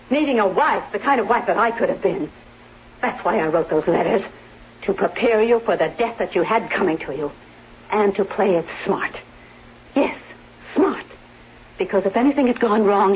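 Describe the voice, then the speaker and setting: A woman's voice: mid-range voice, expressive, normal speed, breathy edge. An actress playing a spiteful, scheming woman full of bitter hatred, in a 1970s radio drama style with emphatic, venomous delivery. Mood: venomous gloating. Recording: dull, humming 1970s radio recording.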